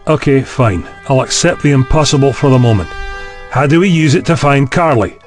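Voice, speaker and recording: A man's voice: medium pitch, brisk, soft background music, close to an everyday voice. An actor playing a tactless, sceptical male university chancellor in a science fiction audio drama, blunt and questioning in manner. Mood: puzzled.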